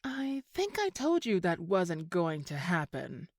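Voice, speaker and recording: A woman's voice: fairly low pitch, huge pitch swings, slow pace, no room sound, studio quality, exaggerated voice. An actress playing a sly villainous woman in an audio drama, condescending with feigned sweetness. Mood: sweetly menacing. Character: sly, scheming villainous old flame, woman. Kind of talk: scripted audio drama acting.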